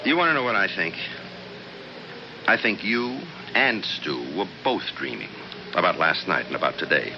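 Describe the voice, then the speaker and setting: Brusque husband, muffled radio drama. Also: male voice, sits low, expressive, normal speed. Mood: firm, dismissive. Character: practical, impatient husband at a snowbound cabin. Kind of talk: 1970s radio drama acting. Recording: muffled, noisy 1970s radio recording.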